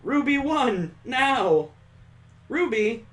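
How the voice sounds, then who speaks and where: An urgent young team fighter from a visual novel game, acted out by a man, calls out in a raised, high voice. The delivery is shouted and pressing, as if rallying teammates. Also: sing-song, extremely slow, far from the mic, heavily acted. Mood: urgent shouting.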